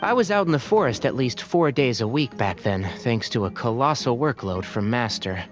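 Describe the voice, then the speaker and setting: A man's voice: mid-range voice, expressive, moderate pace, dull audio, soft background music, close to an everyday voice. A man playing a youthful forest scholar with an animal tail in a video game, complaining wryly and expressively.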